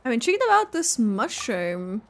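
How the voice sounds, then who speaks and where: A woman's voice: fairly high pitch, sing-song, normal speed, vocal fry, a little hiss or hum. A woman giving casual, rambling reaction commentary over a video game, peppering her sentences with "like". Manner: rambling, frequent filler "like". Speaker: gaming video creator.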